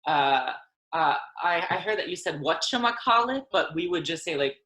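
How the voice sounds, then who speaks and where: A man in his thirties, a French speaker, talking as a guest in a casual video-call interview, hesitant and quick to laugh. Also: fairly high pitch, animated, pushed and bright, slight background noise. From Ontario, Canada. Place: home room. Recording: echoey video-call audio.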